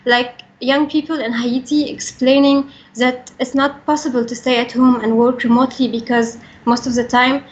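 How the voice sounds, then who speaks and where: A young woman with an Egyptian accent giving a prepared talk in an earnest, formal manner with careful phrasing. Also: high-pitched. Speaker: regional coordinator for a young workers' organisation. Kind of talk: prepared talk, reading statement. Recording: dull, band-limited recording.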